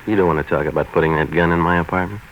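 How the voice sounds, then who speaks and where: An actor playing a hardboiled, sardonic waterfront troubleshooter who narrates his own 1940s radio noir in terse, deadpan wisecracks. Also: sits low, rapid-fire, dull audio. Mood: cool, tough. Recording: noisy 1940s radio recording.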